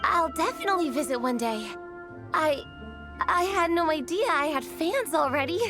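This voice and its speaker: An earnest young girl from a video game, with a high voice. She sounds unsure of herself yet determined, speaking in a hesitant, heartfelt way over clear background music. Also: lively intonation, moderate pace. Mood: surprised, grateful. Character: earnest young comic-artist girl, insecure but determined.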